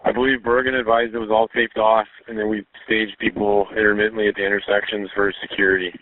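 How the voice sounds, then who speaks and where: Low-voiced male officer over police radio. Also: level tone, fast, no echo. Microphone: two-way radio. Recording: narrowband phone-like police radio.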